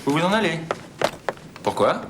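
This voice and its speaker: A man's voice: a little high, big pitch swings, moderate pace. An actor playing a blunt, dryly mocking crewman in a film drama, with noise behind. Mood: wry. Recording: clear background noise, clicks.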